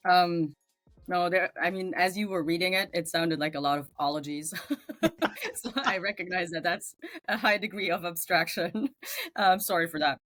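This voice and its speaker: A lower-pitched speaker with a German accent talks in a reflective interview manner. The delivery is searching and unhurried, with many small pauses and fillers, heard in a dry, close recording. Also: female voice, a bit bright, no echo, faint music behind. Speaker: guest theologian. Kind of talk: interview conversation.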